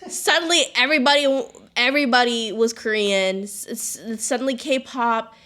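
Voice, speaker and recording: A woman's voice: on the high side, normal speed, pushed and bright. A young woman co-hosting a casual podcast, rambling with constant "like" fillers. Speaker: podcast co-host.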